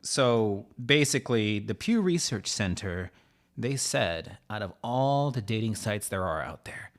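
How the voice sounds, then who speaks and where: A man's voice: fairly low pitch, animated, measured pace. A man in his thirties co-hosting a podcast with irreverent, joking, sometimes profane casual banter. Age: 30s. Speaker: podcast co-host.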